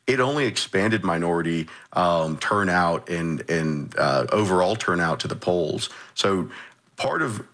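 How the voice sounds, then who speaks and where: A man with a deep voice speaks as a state lawmaker on a radio panel. He explains his political views in a measured, off-the-cuff way. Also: fairly bright tone. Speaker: state legislator, panel guest. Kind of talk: unscripted political discussion.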